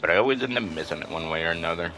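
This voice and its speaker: A man's voice: low voice, animated, rapid-fire. A man giving casual live gameplay commentary as a streamer, in an offhand, muttering way. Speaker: game streamer. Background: game music.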